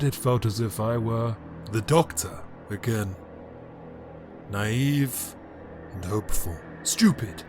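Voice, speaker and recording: A man playing a bitter, power-hungry immortal alien emperor in a dramatic audio drama monologue, brooding and grandiose, with deliberate theatrical pauses. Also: medium pitch, lightly acted. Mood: reflective, grave. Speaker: reader of an audio story.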